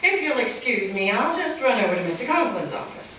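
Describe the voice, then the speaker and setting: Woman playing a lively, wisecracking schoolteacher, very echoey. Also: fairly high pitch, a little fast, lo-fi sound. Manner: dry, wisecracking, arch. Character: wry, sharp-witted female schoolteacher, lovable and sarcastic. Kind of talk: old-time radio sitcom acting before an audience. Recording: echoey live hall, distant mic, faint noise.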